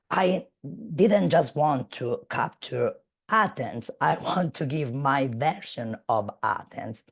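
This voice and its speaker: Woman speaker on a video call, slow and measured, gravelly and husky. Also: rumbling low. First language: Italian. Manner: measured, searching for words. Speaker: guest speaker. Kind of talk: academic webinar talk.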